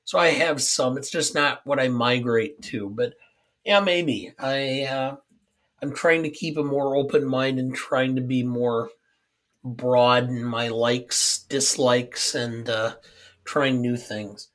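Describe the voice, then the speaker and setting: A middle-aged or older American man chatting casually about films, halting and measured, his voice scratchy from a cold. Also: mid-range voice, steady tone, a bit bright. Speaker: podcast co-host. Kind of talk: casual film chat.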